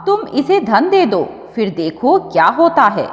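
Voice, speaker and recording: A woman storyteller voicing a calm, wise, patient male god who counsels in a measured way in a devotional tale. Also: a little high. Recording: dull audio with long reverb.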